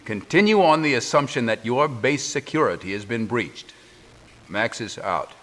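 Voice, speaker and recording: A man's voice: medium pitch, animated, a bit bright, lightly acted. Formal, stern middle-aged military commander played by a man. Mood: official, serious. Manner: measured, formal, official. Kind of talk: video game cutscene briefing.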